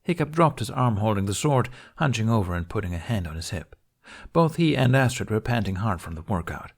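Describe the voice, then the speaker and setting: A man's voice: fairly low pitch, very animated, fairly quick, husky. A man reading scripted fantasy fiction aloud as an audiobook narrator.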